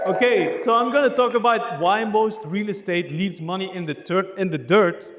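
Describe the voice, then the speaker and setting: Higher-voiced Belgian man presenting in a hall. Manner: enthusiastic, rallying the audience with questions. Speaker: keynote speaker, landscape architect. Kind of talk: motivational business presentation to a live audience. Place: indoor event hall, speaking on stage. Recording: reverberant hall sound.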